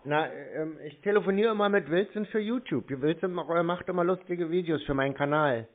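A man's voice: fairly high pitch, a little fast. A man chatting casually on the telephone as a prank caller, unflappable and dogged, with an air of feigned innocence. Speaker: prank caller to scam call centres. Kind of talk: casual phone chat. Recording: narrow-band phone line.

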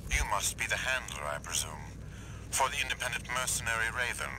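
An actor playing a haughty elite corporate officer in a video game, pompous, precise and condescending. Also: male voice, medium pitch, big pitch swings, fairly quick, background noise. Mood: smug contempt. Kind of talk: scripted video game cutscene dialogue.

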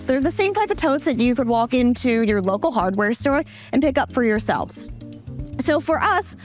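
A young woman presenting a product demo on a warehouse floor, with a polished, upbeat sales delivery. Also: high-pitched. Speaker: company presenter. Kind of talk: scripted product demo tour.

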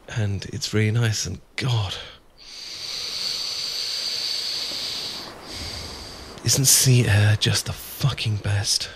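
A man's voice: on the low side, fairly bright tone. A British man playing an adorkable, bashful young friend in an ASMR boyfriend roleplay, hesitant, stammering and rambling. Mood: relaxed, chatty. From Britain. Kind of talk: ASMR boyfriend roleplay, improvised-sounding casual chat. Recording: clear background noise with ambience.

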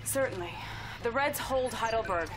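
A woman's voice: a little high, animated, normal speed, background noise, close to an everyday voice. A woman playing a confident, sassy commando in a video game cutscene. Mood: sassy, cocky. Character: tough, cocky female covert commando.

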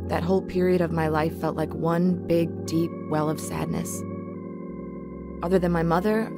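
A woman's voice: fairly low pitch, lightly acted. An actress playing a bitter, stubborn adult daughter looking back on her sad life in a radio drama. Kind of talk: dramatized first-person radio narration.

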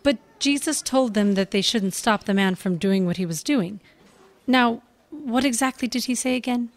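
An actress playing an earnest, polite young stage actress, articulate, in a comedy audio drama. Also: female voice, fairly high pitch, normal speed, no echo, close to an everyday voice. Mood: earnest, thoughtful.

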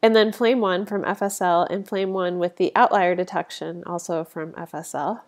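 A woman's voice: on the low side, steady tone, normal speed. A woman with a slight cold, sounding sniffly, narrating a statistics lecture over slides in an informal, conversational way with frequent fillers.

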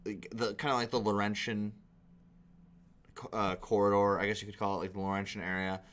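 Low-pitched young Canadian man, sweary reactor. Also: flat delivery, fairly quick, a bit bright. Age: young adult. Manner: sweary, exasperated. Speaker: reaction video host. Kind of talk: casual reaction commentary. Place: small indoor room at a computer.

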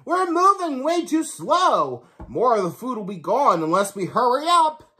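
A man voicing a bossy, impatient tiny ant from a storybook, with shrill, urgent shouted commands. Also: big pitch swings, measured pace, heavily acted.

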